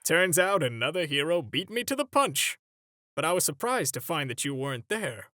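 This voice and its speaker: Man voicing an earnest, animated older hero mentor. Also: a little high, dry recording, studio quality. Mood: probing. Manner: theatrical, measured, earnest. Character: older male superhero mentor, earnest, grandly paternal, gently stern. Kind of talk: dramatic comic dub voice acting.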